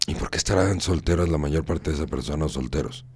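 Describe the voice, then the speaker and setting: A man's voice: rumbling low, fast, breathy edge, no echo. A man hosting a radio talk show, moralizing and repeating phrases for emphasis. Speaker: radio host.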